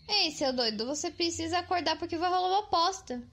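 Young Brazilian cartoon girl, high bright voice, teasing, bossy, scolding. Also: fast, gravelly, exaggerated voice. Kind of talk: children's cartoon comedy dialogue.

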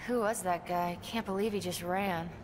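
A woman's voice: fairly low pitch, fairly even intonation, normal speed, background noise, lightly acted. An actress playing a blunt, sassy woman demon hunter in a video game. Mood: disdainful. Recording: game ambience behind the voice.

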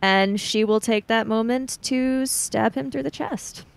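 A woman's voice: on the high side, measured pace, gravelly, smooth. A woman improvising narration for her character in a tabletop role-playing game, tentative and thoughtful.

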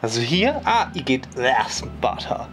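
A woman's voice: a bass voice, very animated, unhurried, music in the background. A woman hosting a tech channel, casually reviewing a product at home, rambling and chatty with lots of fillers and tag questions. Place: indoors at home, moving between rooms.

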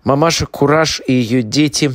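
Guy reading out a quote, mid pitch, deliberate phrasing, really dry recording. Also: dry recording. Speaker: reader of quotations. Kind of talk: scripted reading of a writer's words.

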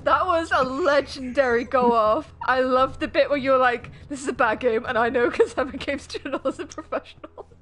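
A woman's voice: high-pitched, fairly bright tone. A young woman with a Yorkshire accent, streaming a game and teasing her friend with lots of laughter. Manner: giggly, teasing, quick to laugh. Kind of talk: casual gaming chat. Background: game music.

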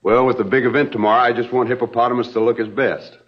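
An actor in his thirties playing a charming, breezy young swindler in an old TV western, smooth-talking and nonchalant. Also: medium pitch, steady tone, quick pace, natural voice. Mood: breezy reassurance. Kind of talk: 1960s TV western acting. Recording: dull old TV soundtrack under music.

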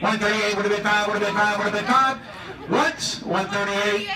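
High-pitched American auctioneer, distant and echoey. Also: male voice, fairly even intonation, moderate pace. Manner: repetitive, rhythmic bid calling, pushing bidders. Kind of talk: rapid auction chant to a live crowd. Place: outdoors on a marina dock. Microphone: picked up by a distant camera. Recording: distant, echoey outdoor recording with crowd.

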